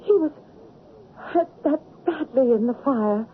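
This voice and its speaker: A woman playing a devoted, resolute young gentlewoman with formal, measured speech in a 1970s radio drama. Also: high-pitched, lively intonation. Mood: anxious. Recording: tinny, noisy old radio broadcast recording.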